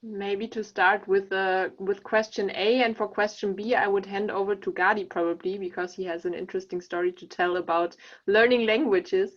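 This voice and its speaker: A woman's voice: mid-range voice, steady tone, normal speed, muffled recording. A woman answering questions on a company panel, friendly and hesitant, with many fillers. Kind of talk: panel discussion.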